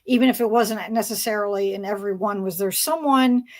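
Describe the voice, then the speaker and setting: A woman moderating a casual fan panel discussion, speaking haltingly as she searches for words. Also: medium pitch, a little mellow.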